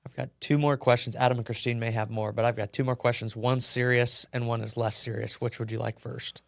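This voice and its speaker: A man hosting a podcast, asking interview questions in a measured, methodical way. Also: mid-range voice, level tone, vocal fry, dry recording. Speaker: podcast host.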